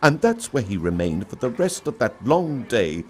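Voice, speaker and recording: A man reading a story aloud in an expressive, theatrical storybook style. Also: mid-range voice, moderate pace. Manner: theatrical, dramatic delivery. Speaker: story reader.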